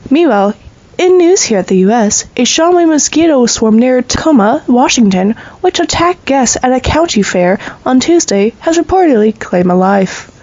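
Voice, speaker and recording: A radio news anchor in an audio drama. The sound is a little dull and very dry. Her voice is on the high side, and she reads serious headlines in a formal, scripted manner. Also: animated, normal speed, darkish tone, no echo. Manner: formal, crisp newsreader delivery.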